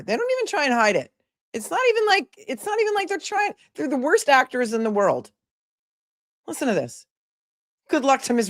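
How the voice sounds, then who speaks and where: A woman hosting a live stream, commenting on a court hearing with sarcastic, indignant remarks and thanking viewers. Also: mid-range voice. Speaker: livestream host and commentator.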